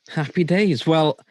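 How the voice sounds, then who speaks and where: A man's voice: a little high, darkish tone, no room sound. Hesitant male podcast host on call. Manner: hesitant, many ums, playful. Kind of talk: casual group video chat. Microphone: video call.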